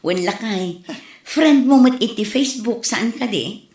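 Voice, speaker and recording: A woman's voice: on the high side, lively intonation, measured pace, a little mellow, roomy sound. A woman with a Filipino Ilocano accent acting a feisty village wife in a comedy drama. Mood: teasing.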